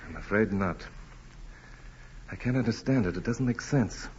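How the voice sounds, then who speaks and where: An actor playing a troubled, conscientious doctor, sober and doubting, in 1940s radio drama style. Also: male voice, lowish voice, animated, normal speed, darkish tone, natural voice. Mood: baffled, doubting. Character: troubled, conscientious country doctor, a man, plainspoken. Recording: muffled, noisy old radio broadcast.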